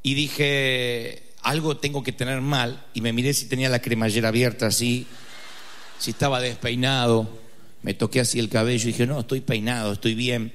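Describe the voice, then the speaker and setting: A man's voice: medium pitch, little pitch movement, moderate pace, a bit bright. A man with an Argentine accent preaching a sermon, telling a funny personal story with dramatic emphasis. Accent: Argentine Spanish. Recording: reverberant hall.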